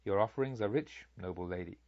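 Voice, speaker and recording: A man voicing a serene, authoritative Buddha who teaches in solemn, deliberate storybook dialogue. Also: on the low side, a little mellow, dry recording, highs cut off, pitched below the performer's own voice, close to an everyday voice. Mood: calm, gracious. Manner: solemn, deliberate, rhetorical preaching.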